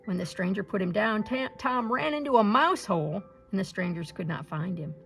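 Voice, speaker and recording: A woman reads a children's fairy tale aloud, in a gentle storybook manner. Her voice carries heavy vocal fry and sits at a middle pitch. Soft music plays faintly behind her. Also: animated, brisk.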